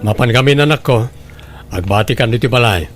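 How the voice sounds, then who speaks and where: A man's voice: mid-range voice, fairly quick, soft background music, lightly acted. A man with an Ilocano accent playing a proud, unbending businessman father in a radio drama, firm and dismissive. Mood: fatherly.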